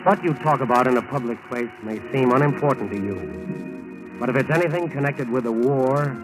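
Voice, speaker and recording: A man's voice: mid-range voice, expressive, moderate pace, rough recording. An American man narrating in a dramatic 1940s radio style, solemn and cautionary. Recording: old 1940s radio broadcast, loud music. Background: loud dramatic music.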